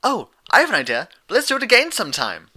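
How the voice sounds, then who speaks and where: A man voicing a hyper, cheerful young female pink pony, bubbly and excitable, in a story reading. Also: high-pitched, quick pace, husky, voice pushed up, heavily acted. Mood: eager.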